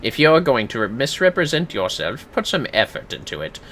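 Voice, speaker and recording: A man voicing a sharp, scolding detective inspector in a fantasy game, laying out deductions accusingly. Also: mid-range voice, sing-song, fairly quick, slight background noise. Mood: scornful, deducing. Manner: accusing, matter-of-fact deduction.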